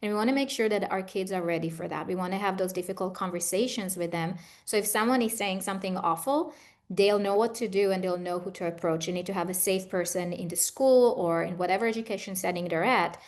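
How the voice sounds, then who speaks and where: Woman, middle-aged, Israeli accent, medium pitch, conversational, explanatory, expert interview guest. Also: quick pace. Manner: explanatory, advising. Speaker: guest expert, trauma therapist.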